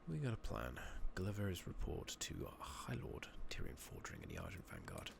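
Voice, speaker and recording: A man giving soft-spoken, partly whispered ASMR commentary on a game, muttering and thinking out loud, recorded close on a makeshift mic. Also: very deep voice, wildly swooping pitch, normal speed, gravelly. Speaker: game streamer narrating a playthrough. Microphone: close makeshift microphone. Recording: noisy makeshift mic with clicking.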